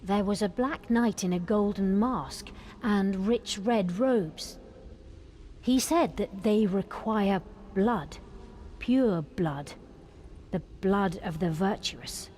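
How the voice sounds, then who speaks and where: A woman's voice: mid-range voice, slow pace, a little mellow, a little hiss or hum, natural voice. A woman playing an earnest, grateful young nun held captive, speaking politely and formally in fantasy game dialogue. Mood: grave, worried. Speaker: game character. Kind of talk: voice-acted fantasy quest dialogue.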